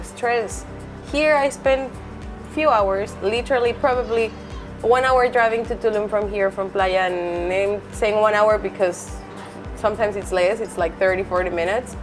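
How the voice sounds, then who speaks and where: Chatty woman from Mexico City, higher voice. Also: measured pace, soft background music. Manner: chatty, explains with lists and asides. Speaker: real estate agent. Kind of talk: casual conversational interview.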